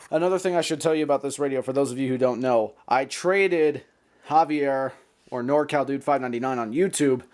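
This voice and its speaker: A man's voice: medium pitch, darkish tone. An American man hosting a casual hobby vlog, talking in a chatty, playful, slangy way. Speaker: hobbyist video host. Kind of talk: casual show-and-tell vlog.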